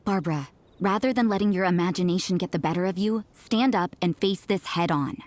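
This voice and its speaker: Stern young nun for a video game, woman actor: lowish, composed, dry delivery, dismissive and cool. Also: a little fast, no room sound, natural voice. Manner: curt, deadpan, composed. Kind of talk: English video game voice acting.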